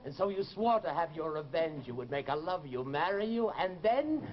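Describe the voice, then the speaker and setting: An actor playing a mocking, sinister phantom companion in an old radio horror drama. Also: male voice, fairly high pitch, very animated. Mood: taunting. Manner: slow, mocking, menacing. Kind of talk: 1940s radio horror acting. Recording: dull, noisy old radio broadcast.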